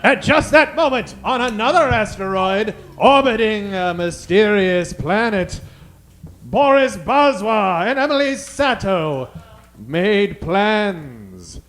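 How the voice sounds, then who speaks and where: A man's voice: high voice, big pitch swings, takes it slowly, fairly bright tone, full character voice. A man narrating in a theatrical, suspenseful old-time radio serial style.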